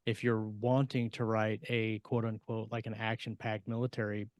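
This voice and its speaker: A middle-aged man discussing books casually as a podcast co-host, explaining in a halting, measured way. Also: fairly low pitch, little pitch movement, dry recording, studio quality. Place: indoor room on a video call.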